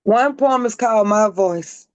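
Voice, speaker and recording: Guest author, female, raspy and hoarse, emphatic spoken-word reading, dry close sound. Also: a little high, unhurried, no echo, highs cut off. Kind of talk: reading her own poetry aloud.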